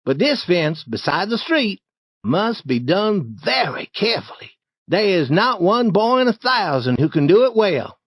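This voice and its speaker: A crafty young boy talks up his chore with coaxing, boastful charm, as a male storyteller puts him on. The voice sits far higher than the reader's own and swings lively up and down. The recording is dry and slightly dull. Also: measured pace, slightly rough, no room sound, full character voice. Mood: sly. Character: clever young boy painting a fence.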